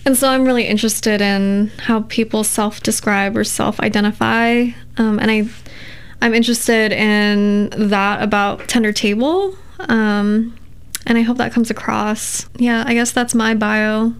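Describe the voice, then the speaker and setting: A woman, a poet, talking in a relaxed interview and choosing her words thoughtfully, with many fillers. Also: on the high side, unhurried, clean tone. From United States. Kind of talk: casual interview conversation.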